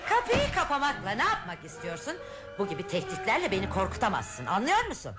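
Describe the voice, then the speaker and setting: A woman's voice: medium pitch, wildly swooping pitch, quick pace. An actress playing a cold, defiant, menacing woman in a melodramatic Turkish radio play. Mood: cold menace. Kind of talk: melodramatic radio theater acting. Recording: loud music over the voice.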